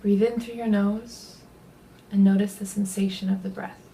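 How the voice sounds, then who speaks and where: A woman leading a guided body scan meditation, speaking gently and unhurriedly in a soothing way, with soft, breathy phrase endings. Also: mid-range voice, little pitch movement, normal speed, slight background noise.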